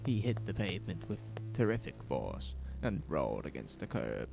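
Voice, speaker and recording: Very deep, gravelly male horror narrator. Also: sing-song, normal speed. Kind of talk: scripted horror audio drama narration. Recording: music bed under the voice. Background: music.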